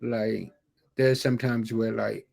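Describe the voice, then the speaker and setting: A man's voice: on the low side, little pitch movement, slow, gravelly, darkish tone. A man hosting an interview, a preacher telling anecdotes in an informal, colloquial way with words repeated for emphasis. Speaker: podcast host, preacher. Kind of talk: casual chat, anecdotal storytelling.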